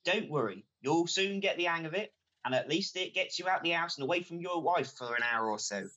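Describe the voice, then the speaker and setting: Woman as a low-voiced joking village bailiff. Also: lively intonation, a little fast, fairly bright tone, lo-fi sound. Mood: jovial. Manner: chummy, comic patter. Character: cheery joking village bailiff and Morris man. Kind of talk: radio pantomime acting.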